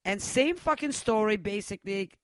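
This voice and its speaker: A man hosting a metal radio show, chatting casually, cursing freely and pausing on many uhs. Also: sits high, lively intonation, no echo, studio quality. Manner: profane, loose, halting with many uhs. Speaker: radio host.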